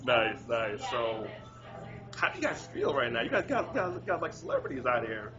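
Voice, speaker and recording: A man's voice: medium pitch, big pitch swings, moderate pace, muffled recording, a crowd in the background. A man hosting a casual interview, upbeat and encouraging, often repeating himself. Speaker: interview host.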